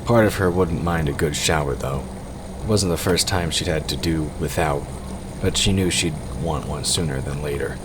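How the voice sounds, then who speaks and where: Male narrator, extremely deep register, scripted fantasy storytelling, noticeably noisy ambient bed. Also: normal speed. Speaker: narrator of an audio drama. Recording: clearly noisy background ambience.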